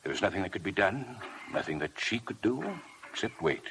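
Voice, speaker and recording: Low, expressive, dignified male doctor. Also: a little fast. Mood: grave. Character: dignified London doctor, discreet and grave. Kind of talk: 1950s radio drama acting. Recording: noisy vintage radio broadcast recording.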